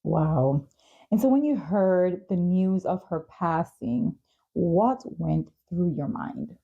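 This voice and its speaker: A woman's voice: on the low side, slow, creaky, breathy edge, phone-quality sound. A woman hosting a talk show podcast with a polished presenter's delivery. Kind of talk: scripted sponsor reads and podcast interviewing.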